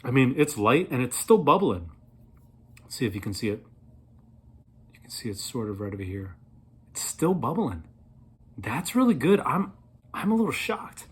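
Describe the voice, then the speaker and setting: A man in his thirties reviewing a product in a casual, playful way, rambling as he thinks aloud, with a slightly husky voice. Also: mid-range voice, sing-song, moderate pace, long pauses. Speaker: beer reviewer, vlog host.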